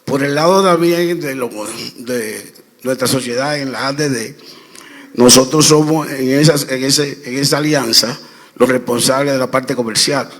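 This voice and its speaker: A man of middling pitch answers a panel question, telling anecdotes and pausing and restarting often. His voice carries a long reverb from a large conference hall. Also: steady tone. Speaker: panelist, trade representative.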